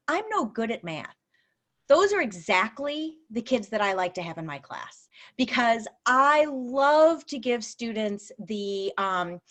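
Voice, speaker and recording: A woman's voice: on the high side, fairly bright tone. A woman math teacher giving a chatty, upbeat talk about her classes at a school open house on a video call.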